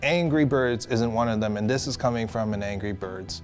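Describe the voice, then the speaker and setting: A man's voice: lowish voice, moderate pace, soft background music. A man chatting casually as a discussion guest, frank and critical in how he gives his opinions. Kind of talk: casual chat.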